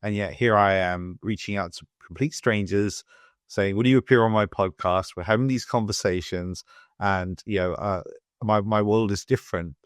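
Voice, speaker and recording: Deep-voiced soft-spoken British man. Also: moderate pace, clean tone, no echo, clean studio sound. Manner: soft-spoken, hesitant, many fillers and restarts. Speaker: podcast host. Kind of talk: casual interview chat.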